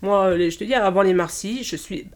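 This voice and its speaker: A woman in her fifties, originally from Portugal, talks haltingly in a casual interview, with frequent pauses and restarts. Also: medium pitch. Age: 50s. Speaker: interviewee, childminder.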